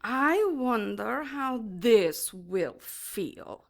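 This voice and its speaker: An actress playing a taunting, smugly sadistic female demon in an audio drama, making mock-polite threats with deliberate menace. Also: a little high, expressive, creaky, exaggerated voice. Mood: threatening.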